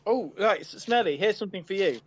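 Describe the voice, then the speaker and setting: A man's voice: high voice, animated, fairly quick. A man chatting eagerly on a group podcast, often stammering and restarting his sentences. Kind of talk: casual group chat.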